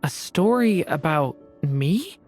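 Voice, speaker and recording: Low, slow dragonborn youth. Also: female voice, lively intonation, darkish tone, soft background music, exaggerated voice. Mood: intrigued. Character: gentle dragonborn youth, soft-spoken and wistful. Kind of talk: video game voice acting.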